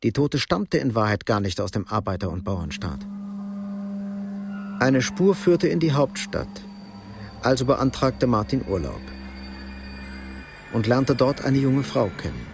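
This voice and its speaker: A man's voice: mid-range voice, sing-song, unhurried, long pauses. A man reading scripted narration for a radio drama. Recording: clear background noise.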